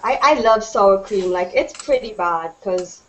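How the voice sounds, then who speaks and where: A woman's voice: medium pitch, measured pace, a bit bright. Chatty woman cooking on a noisy webcam. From Trinidad and Tobago. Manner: chatty, laughing, narrating her own steps. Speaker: cooking show host. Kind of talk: live cooking demonstration chat. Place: home kitchen. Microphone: webcam on a video call.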